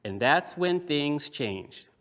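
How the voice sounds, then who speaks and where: Male puppeteer-storyteller, higher register, highly animated and emphatic, performing in a reverberant hall. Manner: dramatic, emphatic, repeats phrases with drawn-out words. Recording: echoey hall with long reverb.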